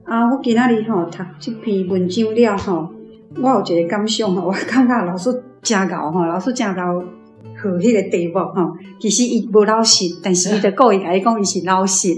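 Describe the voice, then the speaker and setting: A woman's voice: medium pitch, fairly quick, a little mellow, faint music behind. Filler-heavy woman in an echoey room. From Taiwan. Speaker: reading club member. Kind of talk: sharing reading impressions.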